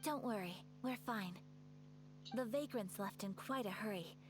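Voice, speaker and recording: A woman's voice: mid-range voice, measured pace, over music, close to an everyday voice. A woman playing a polite, modest young noblewoman in a video game, speaking formally and with composure. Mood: composed, earnest.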